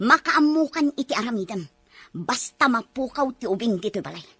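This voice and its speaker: A woman's voice: on the high side, lively intonation, moderate pace, sharp tone, breathy edge, dry recording, heavily acted. A woman voicing a cruel, scheming female employer who gives threatening orders to her maid in a radio drama. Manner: cold, commanding, threatening.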